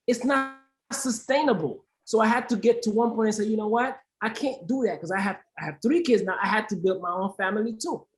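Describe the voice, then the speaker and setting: Woman from Haiti, founder on a discussion panel, emphatic and impassioned, mid-pitched voice. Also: a little fast. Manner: emphatic, repeats phrases for stress. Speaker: aid organization founder, panel guest.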